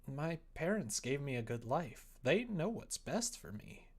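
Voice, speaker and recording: A man's voice: fairly high pitch, big pitch swings, unhurried, slightly rough, full character voice. A man voicing a reserved, bitter young woman in a visual novel, speaking in a guarded, blunt way. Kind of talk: visual novel read aloud.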